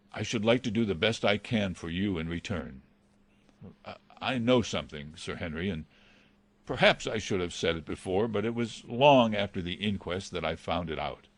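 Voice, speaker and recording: Low-voiced, deferential butler played by a man. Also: no room sound. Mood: grateful, earnest. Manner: hesitant, formal, respectful. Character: deferential, anxious male butler, pleading and loyal. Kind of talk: dramatised reading of a classic novel.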